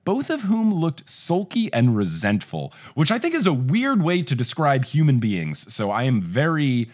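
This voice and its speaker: A young man hosting a casual podcast, his pitch swinging widely as he recaps and jokes. He laughs easily and slips into quick voices when he quotes characters. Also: huge pitch swings. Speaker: podcast host. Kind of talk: casual chat recapping a book.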